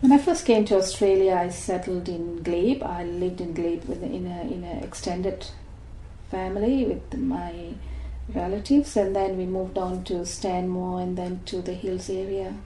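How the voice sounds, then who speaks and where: A woman in her sixties from Malaysia, recalling her life thoughtfully in an oral history interview, with many pauses and restarts. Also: lowish voice, fairly even intonation, dark tone, roomy sound, a little hiss or hum, rough recording. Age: 60s.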